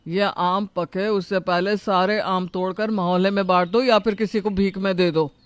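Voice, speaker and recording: A man's voice: sits high, fairly even intonation, moderate pace, smooth, close to an everyday voice. A man playing a defensive, argumentative young husband in a moral story, indignant and complaining. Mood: irritated.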